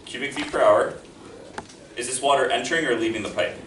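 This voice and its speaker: A man's voice: mid-range voice, a little fast, fairly bright tone. A young man teaching a math lesson to a class in a friendly, encouraging, self-deprecating way. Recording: echoey classroom from far off, noisy.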